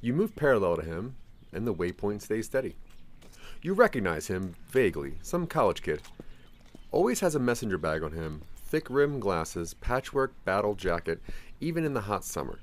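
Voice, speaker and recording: A man's voice: on the low side, big pitch swings, moderate pace. A man narrating a scripted second-person audio drama in a theatrical, ominous storytelling manner.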